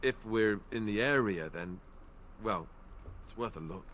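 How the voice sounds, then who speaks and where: A man's voice: on the low side, measured pace, a bit bright, slight background noise. An actor playing an awkward, self-deprecating young male knight in a game, talking in a hesitant, rambling way. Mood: earnest. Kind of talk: game character dialogue.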